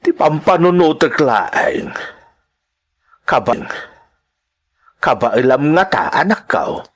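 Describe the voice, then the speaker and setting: A man with an Ilocano accent playing a gentle, caring older father in an audio drama, soothing and measured. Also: on the high side, sing-song, full character voice. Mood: reassuring.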